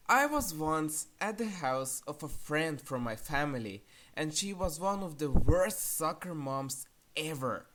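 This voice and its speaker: A woman narrating an opinionated countdown review in a blunt, sarcastic and casually crude manner. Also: low voice. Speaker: video reviewer. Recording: music behind the voice. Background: music.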